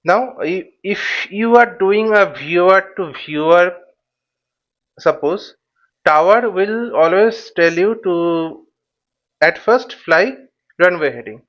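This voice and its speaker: A man giving an explanatory tutorial as an instructor, thinking aloud in a halting, repetitive way and often checking in with short tags. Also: high-pitched, lively intonation, fairly bright tone, highs cut off. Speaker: flight simulation instructor.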